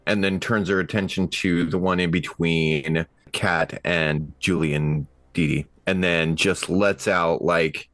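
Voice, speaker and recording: Low-voiced man playing a tabletop game. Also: steady tone, measured pace, few pauses, fairly bright tone, no echo. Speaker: tabletop roleplaying player. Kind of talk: casual in-game action description.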